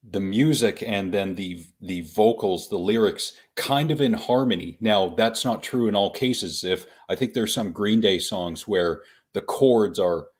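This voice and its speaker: A man co-hosting a casual live-streamed podcast, talking in a measured, explanatory way with frequent hesitations. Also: lowish voice, darkish tone. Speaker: podcast co-host.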